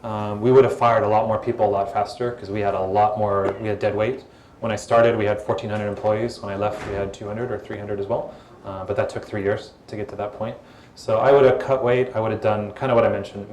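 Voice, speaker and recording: A man answering audience questions at a startup event in a calm, relaxed, conversational way, on a mic in a hall. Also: on the low side, fairly quick. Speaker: guest speaker, former social media executive. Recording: echoey event hall with faint room noise.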